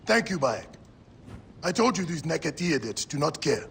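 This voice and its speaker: An actor playing a worldly, concerned village helper in a video game, frank and wry in manner. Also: male voice, a little high, big pitch swings, moderate pace, vocal fry, fairly bright tone, slight background noise, heavily acted. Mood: weary concern. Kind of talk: dramatic video game acting.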